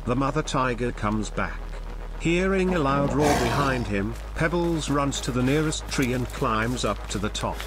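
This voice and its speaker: A man's voice: medium pitch, fairly bright tone, slight background noise, poor audio. A man narrating a scripted fairy tale as a storyteller.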